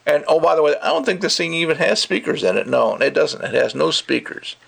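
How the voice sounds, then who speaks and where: Gravelly older man ranting casually. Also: on the high side, animated, brisk, a bit bright. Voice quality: gravelly, creaky. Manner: opinionated, rambling, emphatic, acts out mocking quotes. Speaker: audio gear reviewer. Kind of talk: casual ranting video review.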